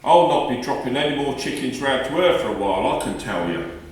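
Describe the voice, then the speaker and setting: A man playing a gruff, irritable working-class husband in a radio play, blunt and dismissive. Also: medium pitch, lively intonation, fairly quick, darkish tone, a little hiss or hum, lightly acted. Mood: angry, suspicious. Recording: very echoey room, slightly distant mic.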